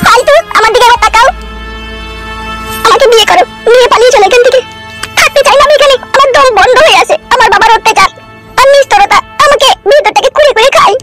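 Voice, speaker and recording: Lady doing a pleading lovestruck cartoon girl, super high voice, talks really fast, music behind her. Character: lovesick, pleading, insistent young woman. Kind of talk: amateur cartoon comedy dubbing.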